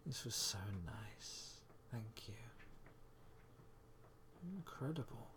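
Slow whispering man, faint hiss. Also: mid-range voice. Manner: soft, breathy, often whispering; murmuring, sighing, reassuring. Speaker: audio roleplay performer. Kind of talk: intimate whispered roleplay.

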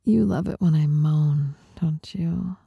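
A woman performing an intimate ASMR monologue in a breathy whisper, seductive, teasing and softly dominant, close to the microphone. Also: low voice, slow pace, no echo, lo-fi sound. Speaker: performer of adult audio recordings.

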